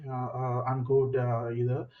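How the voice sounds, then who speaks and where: A web developer from India introduces himself casually and hesitantly on a video call. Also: male voice, medium pitch, completely flat delivery, a little slow. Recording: distant, muffled video call audio.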